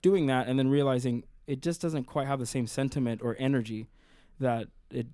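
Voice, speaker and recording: A man's voice: mid-range voice, moderate pace, no room sound. Musician guest, a man in his thirties, first language Arabic, easygoing and thoughtful, recorded close in a dead studio. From Sudan. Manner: relaxed, thoughtful, hesitant phrasing with fillers. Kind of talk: casual radio interview. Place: radio studio. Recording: dry studio sound.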